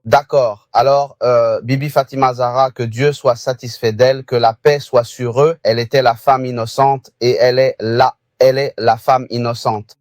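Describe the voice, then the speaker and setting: A man's voice: mid-range voice, steady tone, normal speed, a little mellow, dry recording, clean studio sound. A man calling in to a debate show who keeps pressing his questions, at times hesitant. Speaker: caller. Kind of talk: phone-in question.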